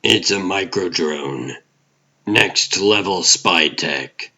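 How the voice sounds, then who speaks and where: Guy doing a brooding comic book vigilante detective, real low and slow, grim noir narration. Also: pushed and bright, a little hiss or hum, full character voice. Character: aging vigilante hero, brooding hard-boiled detective narrator. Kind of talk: scripted comic dub, noir inner monologue.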